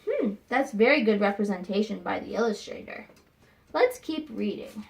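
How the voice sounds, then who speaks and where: A woman teacher reading a picture book aloud to young students, expressive and pausing to think aloud with questions for them. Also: medium pitch, gravelly. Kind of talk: read-aloud lesson with questions to children.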